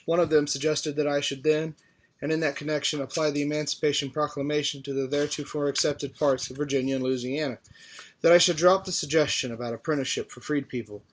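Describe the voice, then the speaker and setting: A man reading a formal historical political speech aloud in a measured, deliberate way. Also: fairly high pitch, steady tone. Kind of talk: formal oratory read aloud from a text.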